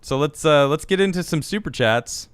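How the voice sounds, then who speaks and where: A young American man hosts a casual talk show with a smooth, resonant voice, heard close and dry. He talks in quick restarts and turns animated and indignant on political stories. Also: on the high side, dry recording. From United States. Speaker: host.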